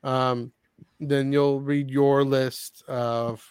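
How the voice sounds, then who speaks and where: A man hosting a podcast in casual conversation, talking in a halting, rambling way and often repeating his words. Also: mid-range voice, little pitch movement, darkish tone, smooth, no echo. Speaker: podcast host. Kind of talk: casual chat, discussing books and comics.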